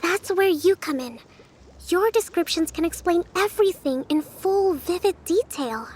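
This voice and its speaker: A woman playing a gentle, persuasive young female strategist in a video game, composed and encouraging. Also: very high-pitched, expressive, measured pace, slight background noise. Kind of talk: video game character acting.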